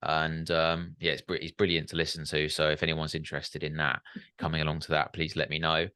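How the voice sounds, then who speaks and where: A young man hosts a relaxed podcast chat with a low but bright, forceful tone. He hedges, restarts and tacks tag questions onto his remarks. The sound is close and dry. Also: brisk, clear, resonant tone, dry recording. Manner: hesitant, many fillers and restarts, tag questions. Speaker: podcast host. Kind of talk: casual interview chat.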